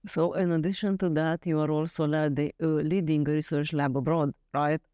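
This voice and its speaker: A woman's voice: low-pitched, fairly even intonation, normal speed, warm tone, clean tone, dry recording, clean studio sound. A woman hosting an interview, asking questions politely with hesitant phrasing and fillers. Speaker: podcast host.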